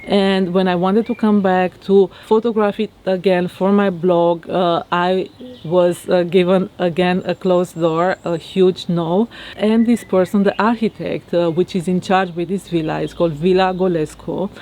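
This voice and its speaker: A woman from Romania with a Romanian accent, talking reflectively to her viewers in a halting way with many fillers. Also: lowish voice, steady tone, warm tone. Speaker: vlogger. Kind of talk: casual monologue to camera. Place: outdoors in her garden.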